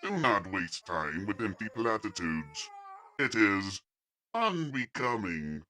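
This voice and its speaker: A man voicing a cold, lofty alien machine overlord in an audio comic, measured, pompous and commanding. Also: fairly low pitch, big pitch swings, bright, forceful tone, exaggerated voice. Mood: cold, disdainful. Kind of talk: audio comic dub villain acting.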